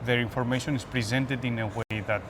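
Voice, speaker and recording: A man from Greece speaks with a Greek accent as an interview guest. His voice sits at a medium pitch and he pauses between phrases as he explains things. Noticeable urban background noise runs behind him. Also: fairly even intonation. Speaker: guest, application security expert. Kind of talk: conversational interview answers. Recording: noisy urban ambience behind voice.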